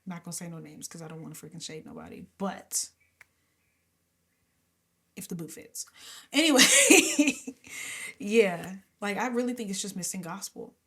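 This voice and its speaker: A woman's voice: fairly low pitch, lively intonation, a little slow, pauses often, a bit bright. A young adult woman singer, a guest in an interview, talking casually and with animation, laughing often and sometimes dropping to a whisper.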